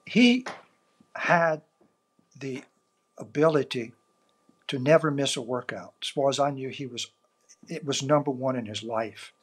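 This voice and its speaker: An older man from South Louisiana with a Cajun accent, a native Cajun French speaker, answering interview questions in an earnest, measured storytelling way. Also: mid-range voice, animated, husky. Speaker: author and interview guest.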